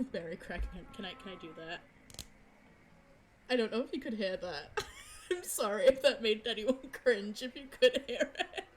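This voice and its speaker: A young woman streamer chatting casually with viewers, giggling and teasing herself, her voice a little low for a woman and her throat sore. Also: a little slow, long pauses, a little hiss or hum, poor audio. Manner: chatty, giggly, self-mocking. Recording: music playing behind the voice.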